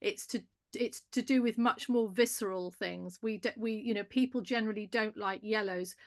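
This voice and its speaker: A woman co-hosting a live garden chat on a video call, animated and quick to laugh. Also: mid-range voice. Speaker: co-host. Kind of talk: casual chat on a live stream.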